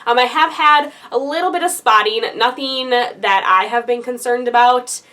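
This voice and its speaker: A woman's voice: on the high side, normal speed, bright, forceful tone. A young woman giving a casual vlog update, chatty and full of fillers, her nose a little congested. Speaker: vlogger.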